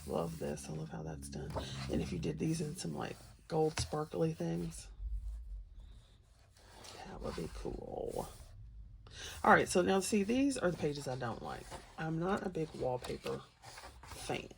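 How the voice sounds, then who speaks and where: A woman's voice: sits low, very animated, unhurried, creaky, long pauses, a little hiss or hum. A woman chatting casually and unscripted to viewers as she hosts a flip-through, in a rambling, folksy, playful way. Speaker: host of a colouring book flip-through. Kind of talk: casual unscripted chat to viewers over book pages.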